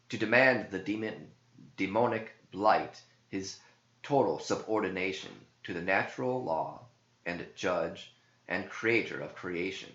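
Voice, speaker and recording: Male verse narrator, bright forceful tone, deliberate delivery, recorded in an echoey room. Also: sits low, highs cut off. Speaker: author reading own verse.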